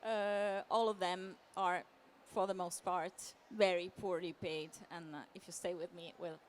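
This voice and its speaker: A woman giving a semi-improvised conference presentation as a literary translator, halting and often repeating words as she searches for phrasing. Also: fairly low pitch, steady tone. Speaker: literary translator, panel speaker. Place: live talk at a book fair event. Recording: clear background noise.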